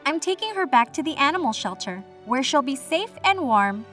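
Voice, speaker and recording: A woman voicing a calm, kind animal control officer who explains things plainly. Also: high-pitched, normal speed, faint music behind, pitched above the performer's own voice. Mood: reassuring.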